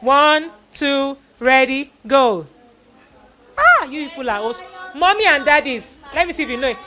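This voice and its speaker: A woman with a Nigerian accent speaks to children as an event host. Her voice is high and bright, with a forceful edge. She tells stories in an exclamatory way, full of quoted dialogue. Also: very animated, moderate pace. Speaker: children's Bible story host. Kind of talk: addressing children at a live event.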